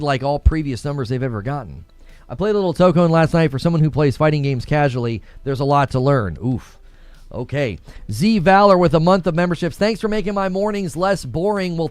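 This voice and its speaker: A man hosting a livestream in a casual, slangy, conversational way, reading out viewer messages and shout-outs and repeating himself for emphasis. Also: fairly high pitch, smooth, no echo. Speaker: livestream host.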